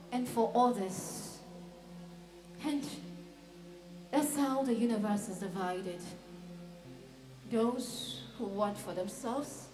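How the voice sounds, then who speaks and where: An actress playing a serene, cryptic being from another world in a solemn dramatic monologue, with deliberate, portentous pauses. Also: female voice, fairly high pitch. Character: serene otherworldly female being, cryptic, questioning humanity. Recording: roomy echo with faint music behind.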